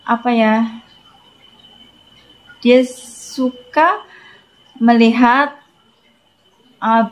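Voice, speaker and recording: A young woman from Indonesia speaks to her vlog viewers at an unhurried pace, her voice sitting at a medium pitch. She hesitates often, fills the gaps while she looks for words, and mixes Malaysian Malay expressions into her talk. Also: low-quality recording. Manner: hesitant, searching for words with many fillers. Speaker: vlogger. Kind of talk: casual personal vlog talk.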